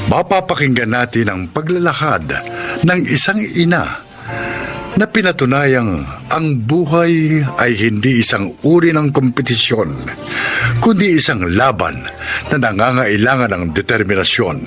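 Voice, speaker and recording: A man's voice: medium pitch, sing-song, measured pace, pauses often. A man with a Filipino accent hosting a drama anthology, reading solemn, scripted radio narration. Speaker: drama anthology host and announcer. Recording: music bed under the voice.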